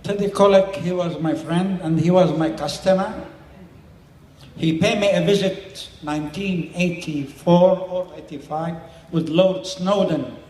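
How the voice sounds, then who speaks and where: A man's voice: sits high, unhurried, a little mellow, roomy sound, big-room sound, rough recording. An older man whose first language is Syriac, speaking plain non-native English in short phrases as an interviewee and community elder. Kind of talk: explaining to a small audience.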